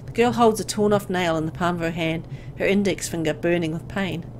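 Expressive woman narrating a horror story. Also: lowish voice, fairly quick, a little hiss or hum, low-quality recording. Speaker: narrator reading a visual novel aloud. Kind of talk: dramatic scripted narration.

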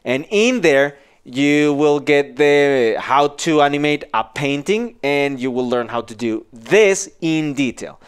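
A man in his forties giving an informal software tutorial, explaining casually step by step into a headset microphone in a small office. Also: a little high, clean tone. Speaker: animation tutorial instructor.

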